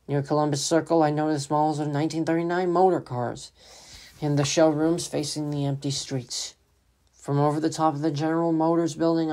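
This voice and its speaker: A man's voice: fairly high pitch, darkish tone. Man narrating a radio drama solemnly. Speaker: solo radio play performer and narrator.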